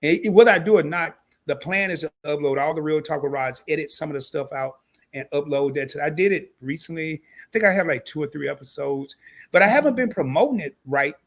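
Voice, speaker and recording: A man's voice: a little high, fairly quick. A man hosting a casual live-stream interview, slangy and joking at his own expense, his voice a little scratchy tonight. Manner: animated, slangy and laughing at himself. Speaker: host.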